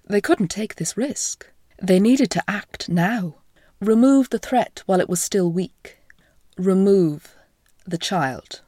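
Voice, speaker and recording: A woman with a croaky voice from a chest infection, hosting a podcast and retelling an old myth in a slow, hushed, half-whispered way. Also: mid-range voice, expressive, dry recording, studio quality. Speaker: podcast host and storyteller.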